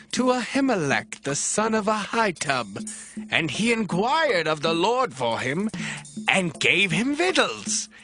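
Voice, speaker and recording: Slow, insinuating royal informer. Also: male voice, high-pitched, heavily acted. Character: informer, a king's chief servant, tattling slyly. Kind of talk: dramatized audio Bible acting.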